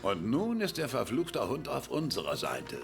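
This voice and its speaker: A stern British general briefing his soldier in a game cutscene. He is commanding and grave, with a mid pitch that moves widely. A clear musical score runs underneath. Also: huge pitch swings, normal speed, soft background music. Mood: insistent. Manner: authoritative, grave. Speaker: video game character in cutscenes.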